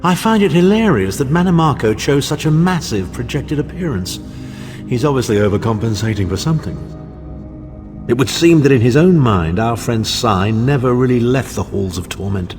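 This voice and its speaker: Guy doing snooty sarcastic fantasy game noble, medium pitch, dry and impatient, faint music behind. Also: lively intonation, moderate pace, a little mellow, close to an everyday voice. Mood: sardonic. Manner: dry, sneering, arch. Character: haughty, sardonic, impatient male nobleman companion. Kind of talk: fantasy video game acting. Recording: long reverb, faint music.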